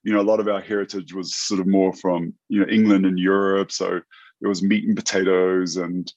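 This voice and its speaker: Kiwi guy w/ american accent, 50s, kinda low voice, stops and restarts a lot. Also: little pitch movement, a little mellow, no echo, highs cut off. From New Zealand. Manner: halting, many restarts and fillers. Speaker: guest, tech entrepreneur. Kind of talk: casual interview chat.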